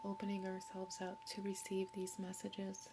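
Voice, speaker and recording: Young woman, hoarse from a cold, flat even delivery, gentle, half-whispered tarot reading, faint background hiss. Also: mid-range voice, normal speed. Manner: soft, gentle, drifting into whispers. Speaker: tarot reader. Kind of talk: pick-a-card tarot reading.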